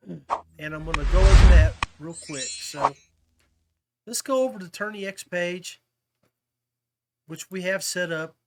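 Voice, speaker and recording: A man hosting a podcast, talking casually and a little haltingly as he walks viewers through details. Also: high-pitched, a little mellow, a little hiss or hum. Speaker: podcast host. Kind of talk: casual informational talk to viewers.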